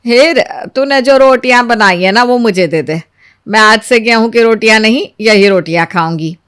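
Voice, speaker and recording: A woman's voice: a little high, moderate pace, fairly bright tone, smooth, close to an everyday voice. A woman storyteller voicing an older mother-in-law who is kindly, agreeable and homely in manner. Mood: kindly, approving. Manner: approving, homely.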